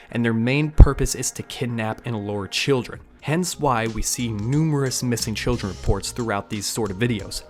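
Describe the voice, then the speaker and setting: Male YouTube host, mid-range voice, scripted breakdown narration, casual asides, music underneath. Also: mid-range voice.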